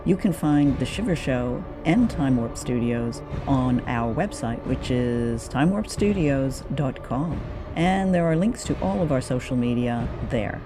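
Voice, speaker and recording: A woman's voice: very deep voice, animated, a little slow, hardly any pauses, over music. A woman co-hosting a show, talking in a casual, conversational way. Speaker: podcast co-host. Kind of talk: casual unscripted chat.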